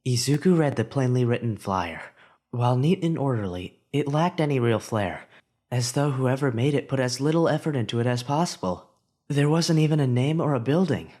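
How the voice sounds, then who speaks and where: A man reads a fan audiobook aloud at an ordinary mid pitch. His delivery is expressive, rising with the hero's inner thoughts. The reading is steady story narration. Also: moderate pace.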